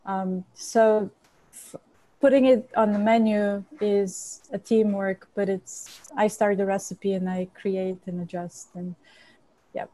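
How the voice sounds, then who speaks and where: A woman's voice: medium pitch, fairly even intonation, slow pace, mellow, no room sound, low-quality recording. A woman from Bulgaria, a chef and cookbook author, answering interview questions earnestly with frequent restarts.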